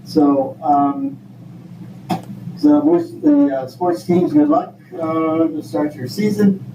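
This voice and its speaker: An older man from Vermont speaking informally at a public meeting, in a halting, folksy, rambling way. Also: a little high, expressive, creaky. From Vermont, United States. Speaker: school board member leading the meeting. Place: indoor meeting room. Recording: echoey room, distant mic, noisy background.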